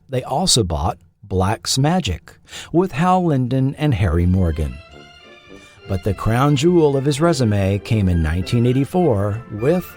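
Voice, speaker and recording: A man hosting and narrating a scripted documentary in a measured, deliberate way, letting his phrases fall at the ends. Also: mid-range voice, darkish tone, no echo, studio quality. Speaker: podcast host and narrator.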